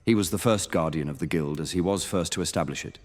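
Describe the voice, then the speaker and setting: A man's voice: low voice, fast talker. A man playing a stern veteran monster hunter knight in a fantasy audio roleplay, speaking formally and solemnly. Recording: long hall reverb under music.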